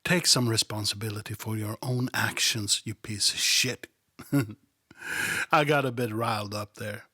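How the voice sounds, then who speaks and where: A man's voice: on the low side, steady tone, unhurried, bright, forceful tone, husky, no echo, clean studio sound. A man hosting a podcast who reads scripture aloud and comments on it in a sarcastic, irreverent and profane way. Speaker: podcast host.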